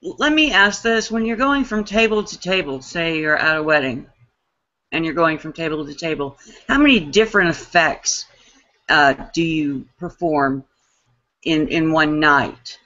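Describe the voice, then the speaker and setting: A woman's voice: sits low, unhurried, sharp tone, lo-fi sound. A middle-aged woman interviewing a guest over a video call, chatting and reading out viewers' questions. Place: room at home, indoors. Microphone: webcam on a video call.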